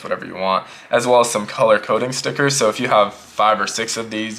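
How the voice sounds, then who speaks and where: A man casually reviewing a notebook pouch in a relaxed, rambling way, a little sick and slightly hoarse. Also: lowish voice, a little hiss or hum. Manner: relaxed, rambling, repeats himself. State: losing his voice, a bit sick. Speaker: EDC gear reviewer.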